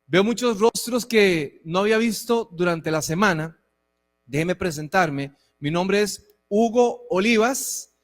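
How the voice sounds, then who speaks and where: A man in his forties preaching to a church, conversational and warm, with frequent asides to the congregation. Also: high-pitched, lively intonation, measured pace. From Costa Rica. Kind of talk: sermon and church address. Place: church hall. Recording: long reverb of a hall.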